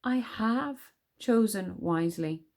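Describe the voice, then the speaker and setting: Woman reading as a calm, sardonic enchanter king. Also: medium pitch, takes it slowly. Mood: calm defiance. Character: wry, composed male enchanter king.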